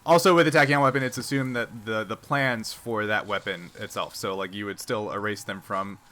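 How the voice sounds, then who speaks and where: A man's voice: on the low side, normal speed, sharp tone. A man acting as game master, explaining rules and narrating a tabletop game, often checking that he is understood.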